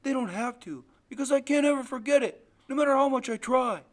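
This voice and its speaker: A man's voice: high-pitched, sing-song, fairly quick. A man voicing a desperate, frightened boy in an audiobook, pleading and accusing in raised outbursts. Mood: defiant, anguished. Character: desperate, frightened boy confronting his murderous father. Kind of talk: audiobook character voice.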